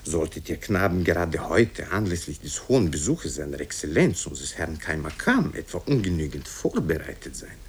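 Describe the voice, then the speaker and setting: A man's voice: rumbling low, big pitch swings, moderate pace, few pauses, breathy edge, exaggerated voice. Man putting on a florid, anxious schoolteacher. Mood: anxious pleading. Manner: florid, pompous, wheedling. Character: fawning, anxious male schoolteacher, pompous and pleading.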